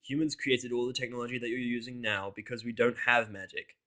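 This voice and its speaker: A man's voice: medium pitch, flat delivery, a little fast, bright, forceful tone, lightly acted. A man voicing a surly, cynical human character with a blunt, sarcastic, grumbling manner in a story. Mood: irritated, defiant. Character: surly, foul-mouthed, cynical human in pony world.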